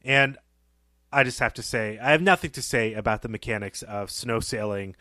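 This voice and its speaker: A man co-hosting a podcast, discussing a story in a conversational, measured and analytical way. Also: medium pitch, bright, forceful tone, dry recording, studio quality. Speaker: podcast host.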